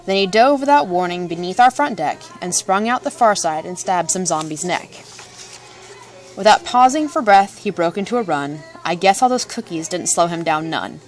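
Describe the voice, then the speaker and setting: Female storybook narrator, slightly low register, theatrical and playful, soft music bed. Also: lively intonation, moderate pace.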